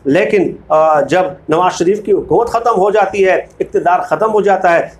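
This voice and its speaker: A man with a Pakistani accent hosting informal political commentary, speaking emphatically and repeating phrases for weight. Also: high-pitched. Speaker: political commentary video host. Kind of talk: informal political commentary, reading book excerpts.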